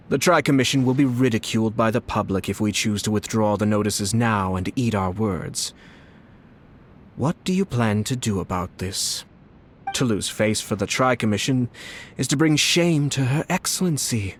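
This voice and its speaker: An actor playing a busy, haughty high-ranking official who speaks in a curt, formal and condescending way, in a studio game. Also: male voice, normal speed, a little hiss or hum, lightly acted. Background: music.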